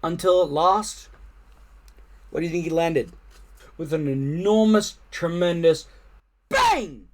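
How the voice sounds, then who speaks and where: A man reading a children's story aloud expressively, with animated, dramatic emphasis. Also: fairly high pitch, takes it slowly, pauses often. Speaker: storytime reader.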